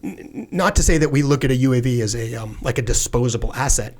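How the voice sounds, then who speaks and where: A man's voice: mid-range voice, expressive, fast talker, a little mellow. A middle-aged man, a former fighter pilot, speaking as a podcast guest in an earnest, conversational way with lots of "like" fillers. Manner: earnest, with frequent "like" fillers and restarts. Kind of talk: casual conversational interview.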